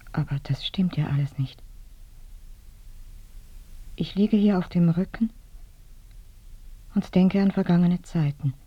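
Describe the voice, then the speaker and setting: An actress playing a lonely dead woman who grieves for her mother in a halting, dreamlike murmur in a radio play. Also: deep voice, dry recording, lo-fi sound, full character voice. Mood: mournful, dreamy. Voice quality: breathy, whispery. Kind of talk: radio drama monologue.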